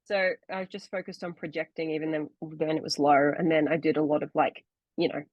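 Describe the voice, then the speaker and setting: A woman's voice: sits low, level tone, fairly quick, dry recording, dull audio. A young woman co-hosting a podcast, chatting casually and explaining things at length with many fillers over a video call. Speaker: podcast co-host and actor. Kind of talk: casual chat.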